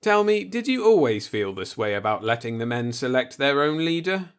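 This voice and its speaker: A man's voice: mid-range voice, sing-song, moderate pace, clean tone, natural voice. A man voicing a stern, distrustful young spaceship captain in a sci-fi audiobook, blunt and sardonic but earnest.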